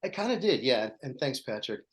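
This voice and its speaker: A man's voice: medium pitch, big pitch swings, brisk. A man who used to teach, a guest on a casual podcast, talking with enthusiasm. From Pittsburgh, Pennsylvania.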